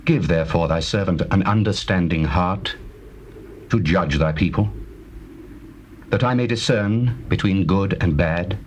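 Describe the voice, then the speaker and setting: Casting: regal young king of scripture drama, lowish voice, formal declamation, faintly noisy tape. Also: unhurried, long pauses, darkish tone. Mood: humble, reverent. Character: King Solomon, stern, regal and devout young ruler. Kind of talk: dramatized scripture reading, classical stage acting. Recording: noisy old audio cassette.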